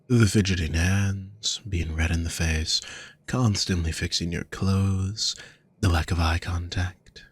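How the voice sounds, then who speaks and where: A man playing a gentle, teasing male demon companion in a roleplay audio, speaking courteously with genteel endearments to the listener. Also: sits low, steady tone, slow, slightly rough, no echo, full character voice. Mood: welcoming, teasing.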